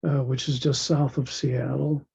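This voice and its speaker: A man, worn out from illness, speaks in a slow, halting way with a raspy voice and many pauses and restarts. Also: mid-range voice, little pitch movement, a little mellow. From United States. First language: English. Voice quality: raspy, gravelly. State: worn out from illness, low energy. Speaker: language learner. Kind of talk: self-recorded video intro. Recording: dull lo-fi sound.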